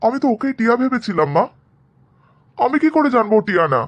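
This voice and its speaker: A man playing a lovesick, quietly determined young son in a cartoon family drama, earnest and subdued. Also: extremely high pitch, big pitch swings, fast talker, highs cut off. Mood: earnest, troubled.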